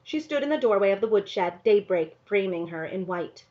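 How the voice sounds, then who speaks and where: A woman reading a novel aloud in an expressive storybook narration style. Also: medium pitch, normal speed, dull audio.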